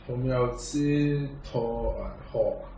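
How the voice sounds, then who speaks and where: A man's voice: medium pitch, takes it slowly, warm tone, faint music behind. A man giving his opinions as a panelist in a casual review discussion. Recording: very echoey room, distant mic, faint noise.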